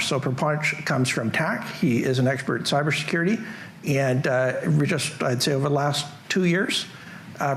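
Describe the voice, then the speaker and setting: A man's voice: mid-range voice, a little hiss or hum. A middle-aged man with a Canadian accent giving a casual, joking tech conference talk in a large hall. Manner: casual, joking, self-deprecating. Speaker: conference presenter.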